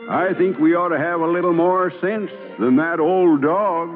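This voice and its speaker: An actor playing a kindly, humorous, persuasive frontier lawyer in an American radio drama, with a homespun storyteller's American manner of speech. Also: male voice, a little high, moderate pace, over music, close to an everyday voice. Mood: genial, persuasive. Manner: homespun, drawling storyteller with folksy sayings. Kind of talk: old-time American radio drama acting. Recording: muffled old radio recording, long hall reverb.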